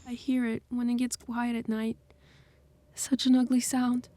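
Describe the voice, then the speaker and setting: A woman's voice: fairly high pitch, completely flat delivery, measured pace, warm tone, close to an everyday voice. A woman voicing a worried, distressed young best friend in an audio story, with an urgent, pained manner. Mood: urgent, haunted. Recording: music behind the voice.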